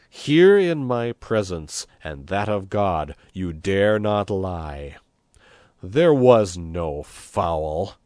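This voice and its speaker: Blustering village priest, played by a male narrator: storybook figure, hot-tempered, emphatic, wide swings in pitch, dry close sound. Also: dry recording. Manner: bellowing, indignant, emphatic. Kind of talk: storybook character reading.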